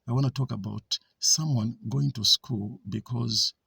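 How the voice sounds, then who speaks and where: A man's voice: mid-range voice, a little slow, vocal fry, sharp tone, breathy edge, no room sound. A man from Zambia with a Zambian accent, giving an informal talk in a hesitant way, with many fillers and restarts.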